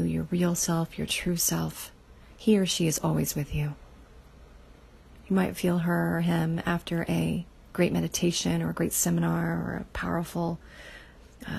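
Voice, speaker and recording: Woman, meditation guide, soft half whisper, very raspy, flat delivery. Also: fairly low pitch. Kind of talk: guided inner-child meditation.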